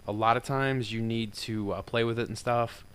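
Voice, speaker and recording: A man with a deeper voice talking through a software tutorial in a loose, rambling way, full of fillers. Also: steady tone, normal speed, clean tone. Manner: rambling and informal, with many fillers. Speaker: tutorial maker. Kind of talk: casual screen-recorded software tutorial.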